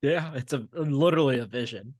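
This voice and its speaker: A man's voice: mid-range voice, brisk, dry recording. A man co-hosting a podcast in casual, joking chat, with a wry manner and some casual swearing. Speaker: podcast co-host.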